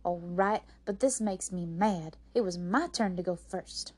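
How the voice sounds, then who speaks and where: A woman reading a storybook, playing a brisk, prim sister and stage performer with an emphatic, huffy manner. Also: fairly low pitch, darkish tone.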